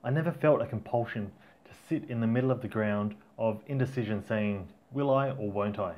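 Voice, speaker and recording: A man's voice: on the low side, a little fast, darkish tone. A middle-aged man from Tasmania with an Australian accent, narrating his own life story as an audiobook in a plain, reflective way with small restarts. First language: Auslan.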